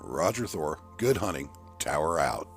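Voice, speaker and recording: A man voicing a brisk, businesslike military tower controller in an audiobook, with clipped official phrasing. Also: rumbling low, very animated, soft background music, full character voice. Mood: brisk, alert.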